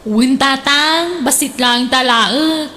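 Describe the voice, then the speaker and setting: An actress with an Ilocano accent playing a modest, coaxing daughter in a comedic radio drama. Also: female voice, sits high, slow, pushed and bright, exaggerated voice. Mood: agreeable. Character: modest daughter asking her father for favours.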